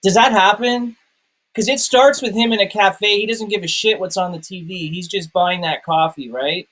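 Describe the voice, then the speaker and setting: A man's voice: high-pitched, moderate pace, fairly bright tone, low-quality recording. A man talking casually as a film review co-host, explaining his points and often checking in with listeners. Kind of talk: casual film analysis chat.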